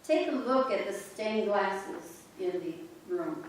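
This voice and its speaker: Slow-reading woman in an echoing church. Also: mid-range voice, animated, recorded from a distance, slight background noise. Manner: slow, measured recitation. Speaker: reader in a church play. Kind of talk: scripted reading to a congregation. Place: large church sanctuary. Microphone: distant room mic. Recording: echoey sanctuary recorded from afar.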